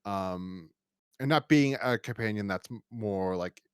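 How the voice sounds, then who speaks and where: A man's voice: lowish voice, measured pace, clean tone, dry recording, studio quality. A man co-hosting a podcast, talking casually and in a rambling way as he reviews and acts out imagined lines. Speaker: podcast co-host.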